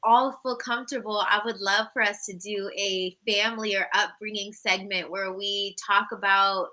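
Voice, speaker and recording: A woman's voice: mid-range voice, fairly even intonation, normal speed, sharp tone, rough recording. A woman in her mid twenties co-hosting a podcast with casual, informal and playful talk. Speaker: podcast co-host. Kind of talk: casual chat.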